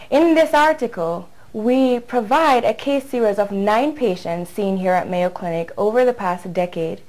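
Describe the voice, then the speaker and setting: Woman doctor in her 30s, clear measured delivery, mid-pitched, medical explainer to camera. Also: darkish tone, poor audio. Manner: clear, measured, careful with technical terms. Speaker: physician presenting her research. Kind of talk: prepared medical talk to camera. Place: indoors, seated in a bright hospital lounge by windows.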